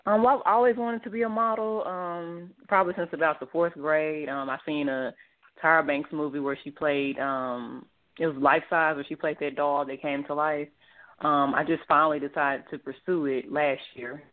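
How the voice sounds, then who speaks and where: A woman in her twenties from Texas, answering interview questions over the phone and pausing often on ums. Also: low voice, steady tone. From Dallas, Texas. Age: 20s. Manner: hesitant, many ums, soft-spoken. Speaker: guest model. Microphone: phone line. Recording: tinny phone line.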